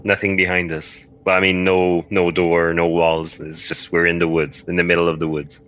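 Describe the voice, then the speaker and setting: Deep-voiced man playing a story game. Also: flat delivery, normal speed, fairly bright tone, a little hiss or hum. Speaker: guest player. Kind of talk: casual chat while playing a game.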